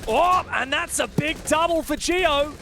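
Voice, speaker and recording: A man's voice: very high voice, measured pace, bright, forceful tone, slight background noise. A man commentating live on an esports match, with dramatic, wordy phrasing. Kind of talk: live play-by-play game commentary.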